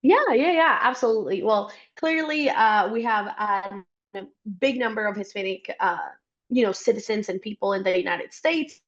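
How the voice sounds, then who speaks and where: A woman's voice: medium pitch, expressive, moderate pace, highs cut off. Chatty young woman. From Costa Rica. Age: young adult. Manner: chatty and upbeat. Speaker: guest, startup support worker. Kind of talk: interview conversation.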